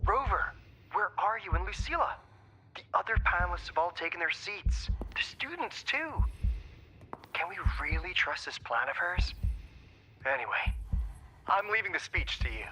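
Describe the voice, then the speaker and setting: A man playing a brisk, doubtful male colleague in a video game, hurried and fretful. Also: sits high, sing-song, pushed and bright, slight background noise, exaggerated voice, rough recording.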